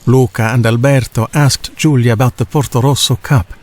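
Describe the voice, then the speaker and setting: A man's voice: medium pitch, fairly even intonation, moderate pace. A man reading a children's storybook as a scripted audiobook narrator.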